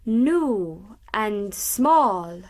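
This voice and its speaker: Slow, theatrical, temperamental stage actress. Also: female voice, medium pitch, animated. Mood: solemn, grieving. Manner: theatrical, slow recitation broken by argumentative asides. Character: temperamental stage actress rehearsing grieving goddess Demeter. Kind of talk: dramatic game voice acting.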